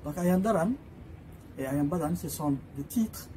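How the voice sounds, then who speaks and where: A man's voice: a little high, unhurried, warm tone, slight background noise. A man whose first language is Somali, an author presenting his books in an informal talk, hesitating often with filler sounds and restarts as he explains. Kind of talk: informal spoken book presentation.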